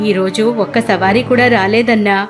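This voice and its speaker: A woman's voice: medium pitch, fairly even intonation, moderate pace, over music, lightly acted. A woman voicing an anguished, devout poor male auto-rickshaw driver in a plaintive, beseeching way for a cartoon story. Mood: pleading.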